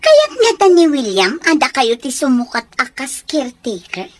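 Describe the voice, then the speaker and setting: An eager woman accomplice in radio comedy-drama. Her high voice leaps about animatedly, questioning in an Ilocano accent, with a dry sound. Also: wildly swooping pitch, normal speed, dry recording. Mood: eager, impatient.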